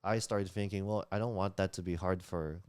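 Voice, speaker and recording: A man with a very low, smooth and resonant voice, speaking English as a Tagalog speaker. He talks earnestly in a casual interview, restarting his phrases and filling pauses. The sound is close and dry. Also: steady tone, a little mellow, no room sound. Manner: earnest, many fillers and restarts, laughs while talking. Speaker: community leader, guest.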